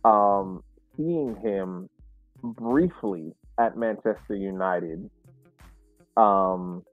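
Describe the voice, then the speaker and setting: A man hosting a casual soccer podcast discussion, speaking haltingly and repeating words as he reflects. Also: fairly low pitch, faint music behind. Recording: muffled, roomy sound.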